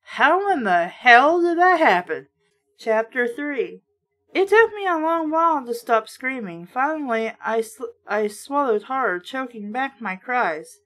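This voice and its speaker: High-voiced woman reading aloud. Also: sing-song, a little slow, fairly bright tone. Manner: sardonic, blunt, swearing asides. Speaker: host reading a children's horror book aloud with commentary. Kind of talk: storybook narration with casual asides.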